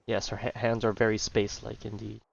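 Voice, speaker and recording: A man's voice: lowish voice, completely flat delivery, brisk, creaky, muffled recording, background noise. A man giving casual gameplay commentary in an offhand way, as if talking to himself. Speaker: gamer commenting on a playthrough.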